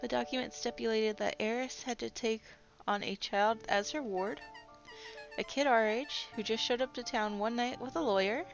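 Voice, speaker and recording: A woman's voice: on the high side, moderate pace, gravelly, dull audio, faint music behind. A woman voicing a young female reporter who shares rumors in a chatty, knowing way. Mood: gossipy.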